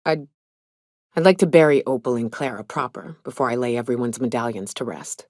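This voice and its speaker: A woman playing a tough, grieving, foul-mouthed huntswoman in a space frontier video game, speaking bluntly in gruff, folksy phrasing. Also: a bass voice, fairly quick, darkish tone, dry recording, clean studio sound. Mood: solemn. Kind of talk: video game character dialogue.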